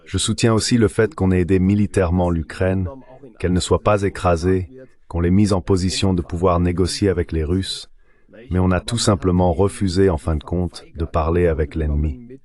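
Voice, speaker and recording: A man's voice: sits low, steady tone, normal speed, darkish tone. A man speaking as an expert guest, giving critical, opinionated answers in a dubbed political interview.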